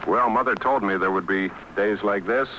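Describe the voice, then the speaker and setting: A man anchoring a live radio news bulletin, calmly stalling as the links to his reporters fail. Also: on the low side, moderate pace, muffled recording, a little hiss or hum. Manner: composed, stalling through failed links.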